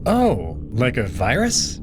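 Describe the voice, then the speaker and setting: A man plays a curious young man who talks in a relaxed, everyday way, firing off plain questions. His voice sits mid-range and moves with lively swings of pitch. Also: slow, background noise.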